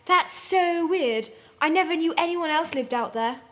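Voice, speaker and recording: A woman voicing an excitable, melodramatic teenage goth girl in love, in a comic dramatic reading. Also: very high voice, a little slow, pitched above the performer's own voice. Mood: earnest chatter. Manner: excitable, exaggerated.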